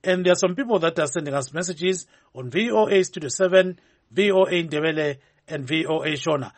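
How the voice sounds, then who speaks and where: Higher-voiced Zimbabwean male radio host. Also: brisk. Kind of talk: live talk show, reading listener messages, switching between Ndebele and English.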